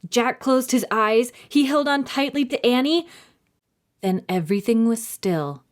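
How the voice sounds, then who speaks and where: Female storytime reader, highly varied intonation, dramatic and clearly phrased delivery for young listeners. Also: lively intonation, unhurried. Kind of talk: expressive scripted storybook narration for children.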